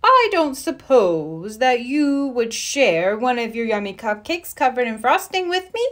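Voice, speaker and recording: A woman voicing a courteous, hopeful male owl with a drawn-out, coaxing manner in a children's storybook. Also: a little high, very animated. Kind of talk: children's storybook read-aloud.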